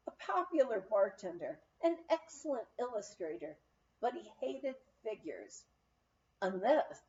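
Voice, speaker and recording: A woman reading a memoir aloud as an audiobook narrator, telling it in an expressive storytelling manner. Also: medium pitch, highs cut off. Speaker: audiobook reader.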